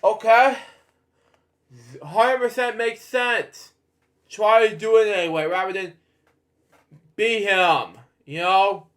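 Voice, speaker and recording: A man with a fairly high voice reads a children's story aloud at a slow pace. He stumbles and halts over phrases, drifting into casual, rambling asides as he hosts. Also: a bit bright. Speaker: host reading bedtime stories.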